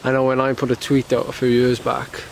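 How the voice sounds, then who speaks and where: A man's voice: mid-range voice, monotone, a little fast, vocal fry, a little hiss or hum. Congested man rambling in a vlog. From United Kingdom. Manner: rambling, many fillers and restarts. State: ill, slightly congested. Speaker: professional cyclist vlogger. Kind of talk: casual vlog talk to camera.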